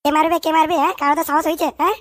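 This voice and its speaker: An actor performs a boastful, hot-headed son in a comic cartoon. The voice is high and very fast, excitable and full of repeated bluster. It is recorded very dry. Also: no echo. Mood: bossy. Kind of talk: comic dialect cartoon dubbing.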